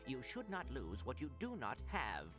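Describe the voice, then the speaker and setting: Little game robot spy, super polite n proper, really animated pitch, music behind. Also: male voice, normal speed. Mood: composed. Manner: formal, precise diction without contractions. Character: small polite earnest male robot secret agent. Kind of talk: video game cutscene acting.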